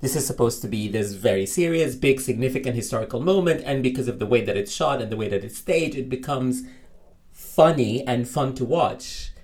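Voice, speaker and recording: A man in casual conversation about movies, animated and opinionated, often laughing mid-sentence. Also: medium pitch, fairly quick. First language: Arabic. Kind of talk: casual film discussion.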